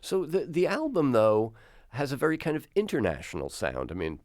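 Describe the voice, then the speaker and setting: Lively older male radio host. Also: medium pitch, normal speed, no echo, studio quality. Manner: lively, curious questioning. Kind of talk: radio interview. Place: radio studio. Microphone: studio mic with headphones.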